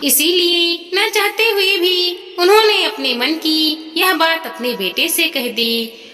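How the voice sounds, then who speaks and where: A woman's voice: sits high, pushed and bright. A woman storyteller reading a scripted moral story as narration. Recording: long reverb, music behind. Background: music.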